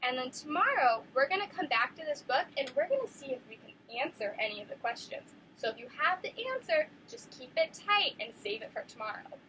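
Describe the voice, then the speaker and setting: Woman teacher, classroom read-aloud, encouraging, high voice, very lively intonation. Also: brisk, hardly any pauses, a little hiss or hum, low-quality recording. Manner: animated, encouraging, questions to children.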